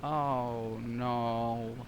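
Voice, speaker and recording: A man's voice: mid-range voice, level tone, extremely slow, background noise. A man giving casual let's play commentary, reacting in short remarks and echoing the game's dialogue. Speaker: game streamer. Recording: loud game music behind.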